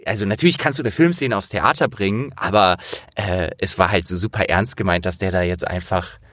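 A man's voice: sits low, a little fast, fairly bright tone, dry recording. Guy doing a chatty interview, rambles into stories, super dry recording. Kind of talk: casual conversational interview.

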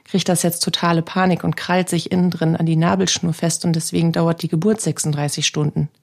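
A middle-aged German woman with a cold, hosting a podcast on grief and spirituality and talking gently and reflectively in a loose monologue that is full of questions. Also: fairly low pitch, flat delivery, brisk, vocal fry. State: has a cold. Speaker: podcast host, grief counselor.

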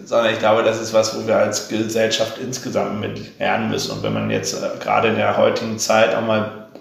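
A man's voice: on the low side, brisk, gravelly, very echoey. A man giving thoughtful, earnest interview answers. He stutters now and then, repeating words and breaking off sounds, with many fillers. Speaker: guest.

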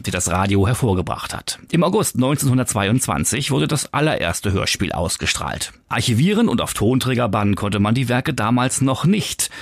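A man's voice: on the low side, husky, dry recording, clean studio sound. A man hosting a podcast and reporting in scripted narration.

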